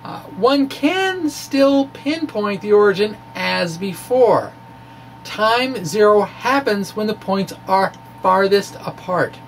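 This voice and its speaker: A man's voice: high voice, gravelly, a bit bright, a little hiss or hum, low-quality recording. A man reading his science blog aloud as an informal explainer, with quirky, emphatic phrasing. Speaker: science blogger presenting physics puzzles. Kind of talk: dramatic reading of a physics blog with asides.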